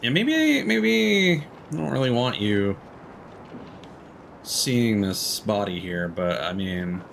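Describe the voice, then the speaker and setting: A man's voice: lowish voice, sing-song, slow pace, creaky, a bit bright, slight background noise. A man doing casual live stream commentary on a game, rambling and swearing casually.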